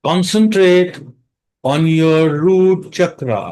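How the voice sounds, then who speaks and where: An Indian man leading a guided meditation as instructor, speaking calmly in short phrases with long pauses between them. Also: high-pitched, smooth, poor audio. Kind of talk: guided meditation, mixing Hindi and English.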